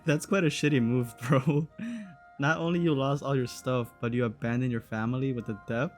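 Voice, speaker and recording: A man's voice: medium pitch, steady tone, moderate pace, soft background music. Young man, gaming commentator, middle register, casual sweary slang and thinking-aloud reactions. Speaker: gaming streamer.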